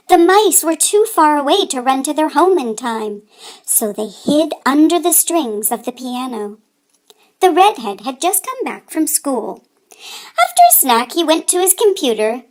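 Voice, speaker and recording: A woman reading a children's story aloud with deliberate, dramatic emphasis. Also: high voice, sing-song, a little mellow. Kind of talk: expressive read-aloud narration for children.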